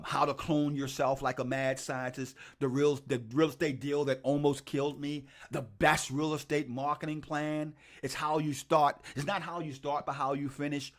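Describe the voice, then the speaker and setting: A middle-aged man hosting a livestream for his real estate investing channel, shouting out viewers by name and talking through his video playlists with upbeat enthusiasm. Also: mid-range voice. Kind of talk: casual livestream shout-outs and channel tour.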